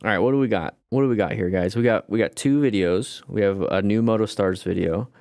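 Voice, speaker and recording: A man's voice: on the low side, fairly quick, dry recording, studio quality. A man in his late thirties hosting a casual livestream, chatting loosely with viewers and answering their comments. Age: 30s. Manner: rambling, relaxed, talks to chat as "guys". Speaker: livestream host.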